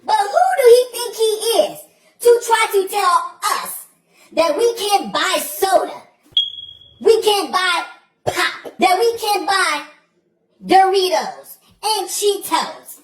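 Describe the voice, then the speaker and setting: A furious, squealing mother yells and drags her words out in a comic rant, put on by a woman. Her voice sits very high and bounces around a roomy space with a faint hiss behind it. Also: very animated, exaggerated voice. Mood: drawn-out indignant. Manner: shouting, dragging words out slowly. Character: loud outraged mother ranting about snacks. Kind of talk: satirical rant. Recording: echoey room with faint noise.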